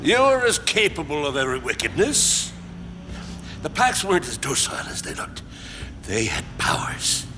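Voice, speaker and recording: A deranged, wildly swinging old villain. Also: male voice, fairly high pitch, very animated. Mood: ranting self-justification. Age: older. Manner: halting, theatrical, ranting. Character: embittered, deranged old male colonist leader, self-justifying villain. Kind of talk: video game cutscene acting. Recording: music under the voice.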